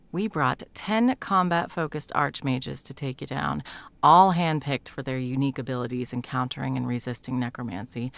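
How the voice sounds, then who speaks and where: A woman playing a sardonic, foul-mouthed sound mage in an intimate fantasy audio roleplay, talking sarcastically and emphatically with a creaky edge. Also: extremely low pitch, a bit bright, dry recording, heavily acted. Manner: sarcastic, emphatic, swearing freely. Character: sardonic, foul-mouthed female sound mage, guiltily helping an old friend.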